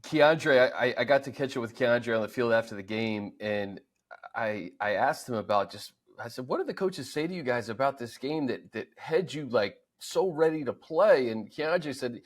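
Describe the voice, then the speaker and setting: A man's voice: medium pitch, animated, normal speed. An American man hosting a sports show in a casual, conversational style. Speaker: sports podcast host.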